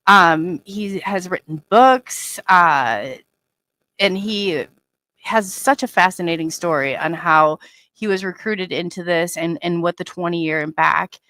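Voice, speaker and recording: A woman hosting a live online show, chatting warmly in a halting way and often restarting her sentences. Her voice sits at a middle pitch. The sound is dry, with no room echo. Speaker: host. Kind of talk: casual online show interview.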